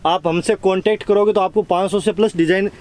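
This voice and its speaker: A man who owns a wholesale lehenga shop, giving a sales pitch and repeating prices as he shows his goods. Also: fairly even intonation, fast talker, darkish tone.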